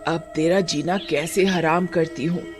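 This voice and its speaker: An old mother-in-law turned witch ghost, acted out by a man, wronged and out for revenge. The words come slowly and drawn out, with menace, over clearly heard music in a horror story. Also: fairly high pitch, fairly even intonation, lightly acted. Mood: bitter, vengeful.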